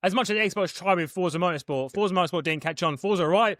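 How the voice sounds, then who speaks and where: A man's voice: high voice, a bit bright, no echo. A young man co-hosting a podcast, chatting casually and rambling with lots of restarts. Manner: rapid, rambling, many restarts. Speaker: podcast co-host. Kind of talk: casual chat.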